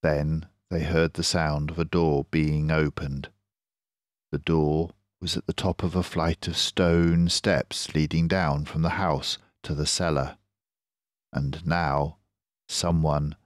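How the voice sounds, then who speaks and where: Older male storyteller for children's fiction: deep voice in a dry, close recording, expressive and measured. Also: no room sound.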